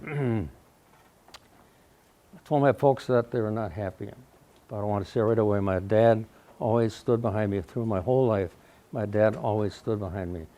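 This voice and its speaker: Mellow-voiced elderly Midwestern man. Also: medium pitch. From Wausau, Wisconsin, USA. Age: around 90. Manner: folksy storytelling, often clears his throat; speaking loudly without a microphone. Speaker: guest speaker. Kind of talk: personal life-story talk to a live audience. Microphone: no microphone, recorded in the room.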